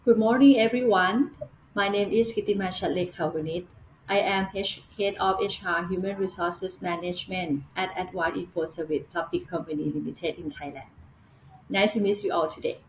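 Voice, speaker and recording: A woman with a lower voice introduces herself briefly and formally. Her voice echoes in the room, and faint background ambience sits behind it. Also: moderate pace, a little hiss or hum. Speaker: head of HR, webinar panelist. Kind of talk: self-introduction.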